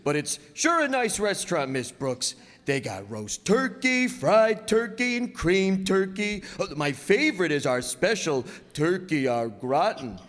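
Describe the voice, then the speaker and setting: Man playing a goofy, higher-voiced teenage boy. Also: big pitch swings, measured pace, a bit bright, exaggerated voice. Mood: goofy, cheerful. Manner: goofy, earnest, muddled. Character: goofy, good-natured, dim teenage schoolboy busboy. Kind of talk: old-time radio comedy acting. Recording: echoey hall, long reverb.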